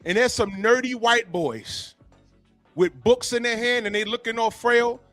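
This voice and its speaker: A man hosting a podcast in a casual, animated and profane way, often acting out quotes as he tells a story. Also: very high-pitched, measured pace, no echo, soft background music. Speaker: podcast host.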